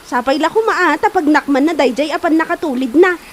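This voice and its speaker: Woman acting high-voiced, nagging Ilocano household woman. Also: fairly quick, slight background noise, lightly acted. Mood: exasperated, chiding. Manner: nagging, chiding. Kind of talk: Ilocano comedy drama acting.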